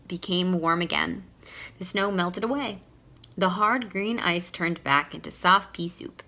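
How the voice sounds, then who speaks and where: A woman's voice: fairly low pitch, pushed and bright, a little hiss or hum. A woman reading a storybook aloud to young children in a teacherly way, putting questions to her listeners.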